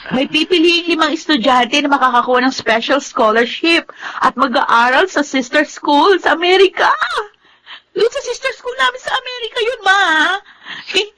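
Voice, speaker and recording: A woman's voice: high voice, lively intonation, moderate pace, bright, forceful tone, slight background noise, rough recording. A woman with a Filipino accent playing a devoted, playful teenage daughter of nineteen in a radio drama, earnest and girlish. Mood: excited, hopeful. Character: devoted, playful nineteen-year-old daughter with big dreams.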